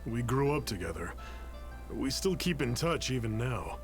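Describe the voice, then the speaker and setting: A reserved townsman speaks in a mid-pitched, everyday voice, played by a man. He sounds wistful and measured, a video game figure. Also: very animated, soft background music, lightly acted. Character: adult man, reserved old friend and cook.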